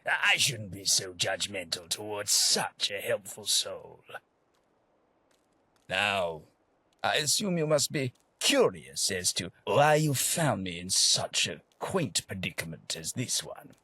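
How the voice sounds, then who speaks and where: An actor playing a fussy, polite gentleman tax collector in a video game, with a wordy, formal and genteel manner. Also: fairly low pitch, sing-song, slow, breathy edge, dry recording, exaggerated voice. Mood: polite, weary. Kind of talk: video game character dialogue.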